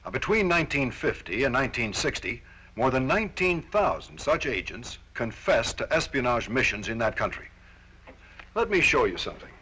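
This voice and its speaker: Forceful, animated American male film narrator. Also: mid-range voice, normal speed, breathy edge, low-quality recording. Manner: stern, formal, authoritative. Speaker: presenter and narrator of a military training film. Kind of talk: scripted documentary narration. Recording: Cold War-era film soundtrack, faint music.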